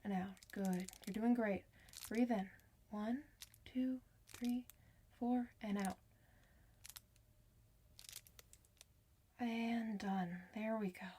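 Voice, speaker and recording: A woman playing a kind clinician in an ASMR medical roleplay, soft-spoken, reassuring and chatty with the patient. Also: mid-range voice. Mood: soothing, guiding.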